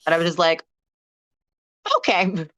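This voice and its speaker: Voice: mid-range voice, fairly even intonation, a little slow, a crowd in the background. A panelist in a casual chat who rambles through a story full of "like"s and restarts. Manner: rambling, heavy on fillers like "like". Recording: dull lo-fi audio.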